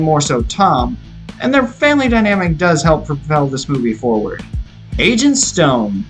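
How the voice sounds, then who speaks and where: A young adult man delivering a scripted movie review as an enthusiastic, opinionated narrator. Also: on the high side. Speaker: video reviewer. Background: background music.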